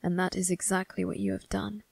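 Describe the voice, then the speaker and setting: A woman voicing a cold, condescending male vampire crime boss in an ASMR roleplay, speaking in a husky, gravelly near-whisper with slow, deliberate menace. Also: mid-range voice, little pitch movement, dry recording. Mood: possessive, intense. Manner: slow, deliberate, measured taunting. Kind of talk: ASMR roleplay monologue addressed to the listener.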